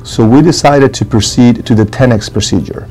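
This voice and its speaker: A man in his thirties from Ecuador, a professional tennis player, speaking to camera in a steady, matter-of-fact testimonial filmed in a clinic office. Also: medium pitch, moderate pace, faint music behind. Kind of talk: testimonial interview.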